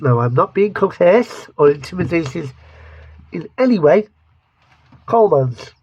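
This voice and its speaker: Slow-talking man with a lisp. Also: fairly high pitch, a little mellow, breathy edge, rough recording. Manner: rambling, joking, restarts a lot. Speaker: vlogger reviewing mustards. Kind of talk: casual taste-test review. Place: home studio.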